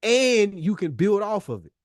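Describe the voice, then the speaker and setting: A man's voice: high-pitched, very animated, moderate pace, no room sound, clean studio sound. An American man co-hosting a podcast, talking casually and candidly in an earnest, slangy way and repeating words for emphasis. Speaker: podcast co-host.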